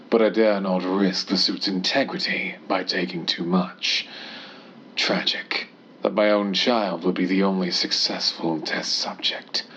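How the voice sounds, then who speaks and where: A man playing a cold, scheming scientist who dictates secret experiment logs in a measured, disdainful, clinical manner, as an audio horror monologue. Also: deep voice, fairly bright tone, a little hiss or hum. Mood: secretive, brooding. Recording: dull, muffled lo-fi tape-style recording.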